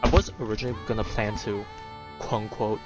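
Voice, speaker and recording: A man streaming a video game, chatting casually in a rambling, halting way as he thinks aloud. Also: on the low side, fairly even intonation, dull audio. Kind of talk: casual livestream chat over gameplay. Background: game music and battle sounds.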